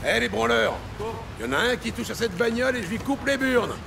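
An actor playing a brash, foul-mouthed bullying police detective in a French audio drama, sardonic and intimidating, with a gravelly voice. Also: male voice, very high-pitched, expressive, a little fast, noisy background, exaggerated voice. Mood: angry. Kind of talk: French audio drama acting and noir narration. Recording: loud music bed behind the voice.

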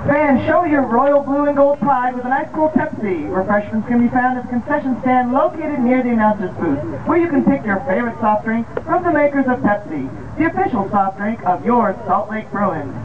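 A woman's voice: a little high, expressive, moderate pace, few pauses, crowd noise. Distant, tinny American woman stadium announcer. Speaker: stadium public address announcer at baseball games. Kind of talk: scripted PA announcing of lineups, promotions and game updates. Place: outdoor baseball field, heard over the PA system. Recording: tinny distant ballpark loudspeaker, outdoor noise.

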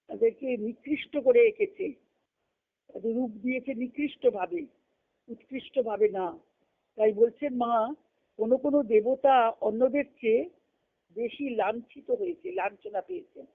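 A woman giving a spiritual discourse as a teacher, repeating and rephrasing key phrases as she explains. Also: on the high side. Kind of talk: spiritual lecture, reading quotations and explaining them. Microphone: conference call. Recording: muffled lo-fi conference call audio.

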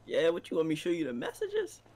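A fairly high-voiced American man playing a street character in an online game. His pitch jumps around a lot, and he talks back in defiant slang. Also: huge pitch swings, quick pace, darkish tone. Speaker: player in a roleplay game. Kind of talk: in-character improvised roleplay chat.

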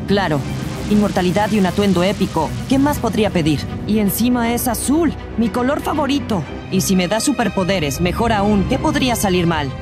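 A woman's voice: medium pitch, animated, normal speed, lightly acted. A woman voicing a reckless, carefree young boy adventurer in a cartoon, cocky and wry. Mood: eager. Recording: clear music behind the voice.

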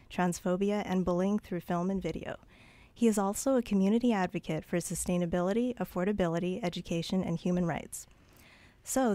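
Woman radio host, low voice, dry studio mic, steady scripted read. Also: dry recording. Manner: reads from a prepared script. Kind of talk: scripted introduction.

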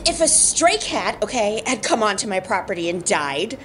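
An actress playing an indignant, evasive tenant with a hedging, defensive manner. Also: female voice, medium pitch, big pitch swings, normal speed, natural voice. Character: evasive, indignant female tenant under questioning. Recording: clear background noise.

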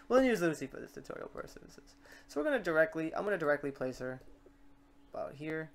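Casual software tutorial presenter, medium pitch. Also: creaky. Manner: informal, thinking aloud.

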